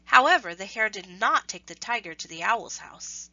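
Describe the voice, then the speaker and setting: A woman reads a children's story aloud in scripted narration, with expressive, deliberate phrasing. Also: mid-range voice, no echo, highs cut off.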